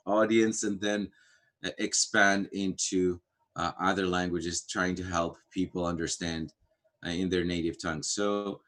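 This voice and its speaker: A man from Greece, a Greek native speaker, talking casually on a video-call livestream, pausing often with fillers and restarts. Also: low-pitched, fairly bright tone. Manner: halting, many fillers and restarts. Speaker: framework core team member.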